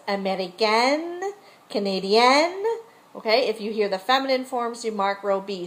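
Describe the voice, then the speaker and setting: Woman teacher, patient casual explainer, slightly high voice.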